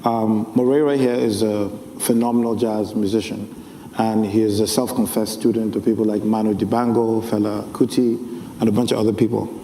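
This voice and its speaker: Relaxed male moderator in an echoey hall. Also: fairly low pitch, fairly quick, slight background noise. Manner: loose, with filler ums and rights. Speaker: panel moderator. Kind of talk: panel discussion. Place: large conference hall stage. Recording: echoey hall with faint ambience.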